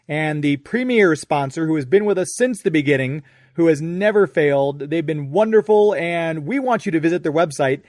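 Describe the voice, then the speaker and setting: A man's voice: high voice, darkish tone, dry recording, clean studio sound. An American man hosting a radio show in a chatty, informal, conversational way. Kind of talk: conversational ad read and interview.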